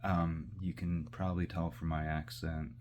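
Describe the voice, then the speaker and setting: A man's voice: rumbling low, level tone, moderate pace, a little mellow, slight background noise. A man talking casually to the camera in a meandering way, full of fillers and restarts, while cooking in his kitchen. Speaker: vlogger. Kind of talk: rambling casual monologue.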